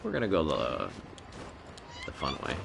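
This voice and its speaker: A man's voice: rumbling low, wildly swooping pitch, normal speed, vocal fry, noisy background. A man doing casual live commentary as a game streamer, muttering informal reactions.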